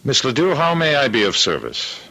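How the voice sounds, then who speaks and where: A man's voice: mid-range voice, huge pitch swings, brisk, vocal fry, sharp tone, full character voice, rough recording. A man with an American accent playing a scheming, unctuously courteous businessman in a radio drama. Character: smooth-mannered, scheming businessman behind a killing. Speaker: actor.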